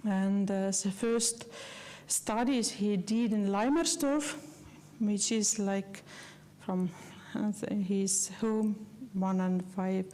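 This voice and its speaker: Woman speaker, non-native English, unhurried and soft-toned; earnest, halting lecture delivery. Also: mid-range voice, fairly even intonation. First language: not English. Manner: halting, many fillers and restarts; earnest, personal. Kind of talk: slide lecture.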